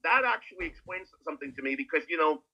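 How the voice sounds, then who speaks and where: A man's voice: on the high side, lively intonation, quick pace, bright, forceful tone, muffled recording. A man with a Canadian accent in casual discussion, talking animatedly and repeating words in bursts. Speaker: podcast guest co-commentator.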